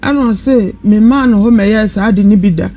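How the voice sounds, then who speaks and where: A woman's voice: mid-range voice, normal speed, dark tone. A woman with a Ghanaian accent giving a spontaneous personal account as a guest on a live radio discussion. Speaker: guest telling her personal story. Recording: noisy live radio studio sound.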